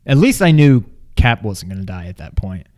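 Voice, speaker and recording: A man's voice: lowish voice, big pitch swings, moderate pace, darkish tone. A man co-hosting a movie review in loose, unscripted chat, informally acting out his own reactions as he goes. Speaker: co-host of a movie review show.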